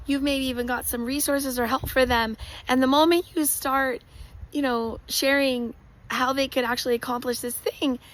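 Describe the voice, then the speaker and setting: Hoarse high-voiced woman, passionate. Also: a bit bright, low-quality recording. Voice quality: frequent throat clearing. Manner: earnest, passionate, direct address with rhetorical questions. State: raspy, hoarse voice. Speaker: motivational speaker. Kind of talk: motivational monologue.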